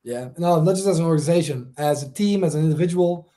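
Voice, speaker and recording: A Dutch man with a fairly high but mellow, relaxed voice talks as a panel guest on a video call. He speaks in an animated way and often doubles words as he gets going. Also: quick pace. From Netherlands. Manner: animated, repeats words when starting a point. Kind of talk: casual panel discussion. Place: home room, video call.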